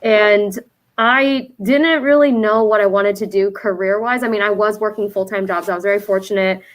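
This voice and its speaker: A woman from the United States whose first language was Vietnamese, a brand founder answering interview questions in an earnest, reflective way with soft fillers. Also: medium pitch, normal speed.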